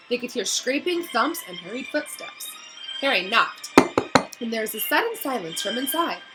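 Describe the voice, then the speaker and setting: A woman narrating a storybook aloud in an expressive read-aloud style. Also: medium pitch, poor audio.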